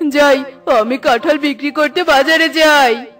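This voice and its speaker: A greedy male crow from a children's moral cartoon, acted by a woman. The voice sits high and sounds mocking and cocky. Soft music runs behind it. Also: measured pace.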